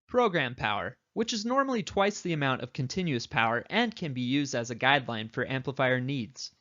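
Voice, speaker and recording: A man's voice: medium pitch, animated, brisk, sharp tone, muffled recording. A man reading scripted tutorial narration as an instructor, explaining things in an instructional way.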